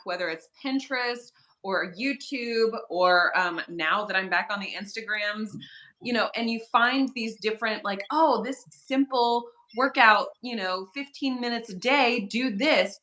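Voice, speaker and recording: A woman in her thirties chatting as co-host, telling animated stories and acting out her own thoughts. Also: on the high side. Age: 30s. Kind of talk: casual chat.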